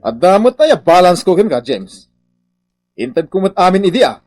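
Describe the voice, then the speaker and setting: A man's voice: sits high, sing-song, normal speed, a little mellow. A man acting a shifty, defensive Filipino contractor boss in a radio comedy drama, with a blustering manner. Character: shifty, defensive contractor boss dodging his workers' wages.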